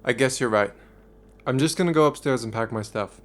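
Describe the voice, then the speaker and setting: A man's voice: medium pitch, a little fast, lightly acted. A man playing an anxious, hesitant young adult in an audio play, faltering and unsure. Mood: resigned. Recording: noisy background ambience.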